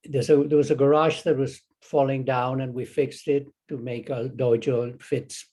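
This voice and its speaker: Older Chilean-accented man, Zen teacher guest; unhurried, rambling answers full of restarts. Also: medium pitch, flat delivery, dark tone, breathy edge. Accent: Chilean Spanish. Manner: rambling, halting, many restarts and fillers. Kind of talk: casual interview conversation.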